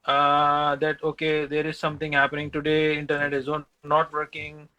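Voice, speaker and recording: Man, client on a business call, halting, fillers, bright, slightly high. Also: monotone, clear, resonant tone, lo-fi sound. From Pakistan. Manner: halting, repeats words, many fillers. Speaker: prospective client.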